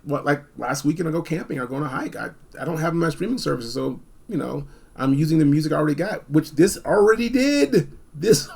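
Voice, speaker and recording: Gravelly, higher-pitched man rambling casually. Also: darkish tone. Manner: rambling, repeats phrases for emphasis. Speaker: product reviewer. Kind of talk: casual unscripted gadget review.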